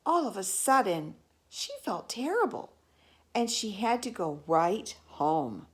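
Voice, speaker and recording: A woman storyteller reading a children's picture book aloud expressively, pausing to ask the listener questions. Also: mid-range voice, big pitch swings.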